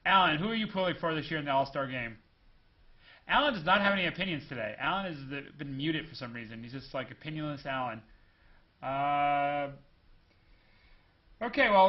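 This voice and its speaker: A man's voice: high-pitched, lo-fi sound. A young man hosting a casual interview show, rambling loosely and joking between questions. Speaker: host.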